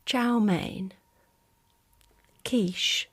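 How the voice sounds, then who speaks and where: A woman whispering softly in a breathy voice, playing an attentive air hostess in an ASMR roleplay. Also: mid-range voice, no echo. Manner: soft, slow, item-by-item listing.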